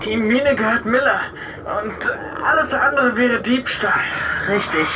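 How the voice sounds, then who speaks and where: High, eager boy detective. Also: a little slow, distant mic, noisy background. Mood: awed, earnest. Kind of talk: children's radio play acting. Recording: loud ambience, off the mic.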